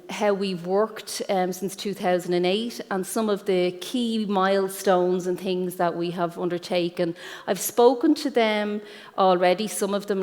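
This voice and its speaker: An Irish woman giving an explanatory conference presentation as a guest speaker, pausing often with ums. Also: medium pitch, a little mellow. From Ireland. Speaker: guest speaker, careers guidance professional. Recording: echoey hall with long reverb.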